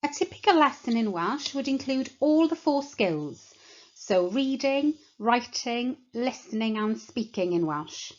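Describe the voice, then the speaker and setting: A woman teacher giving a measured talk that explains a course to prospective students. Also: on the high side, lively intonation, muffled recording. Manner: measured, explanatory, lists points slowly.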